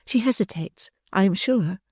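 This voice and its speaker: A woman voicing a gentle, compassionate young lady who speaks in an earnest, tender way. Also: medium pitch, lively intonation, moderate pace, breathy edge, no room sound, clean studio sound, pitched above the performer's own voice.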